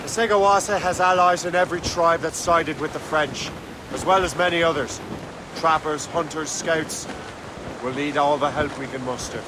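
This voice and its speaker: An actor playing a wary, self-reliant fighter in a video game, terse and serious in delivery. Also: male voice, fairly high pitch, moderate pace, background noise, natural voice. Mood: grim, determined. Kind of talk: video game dialogue acting.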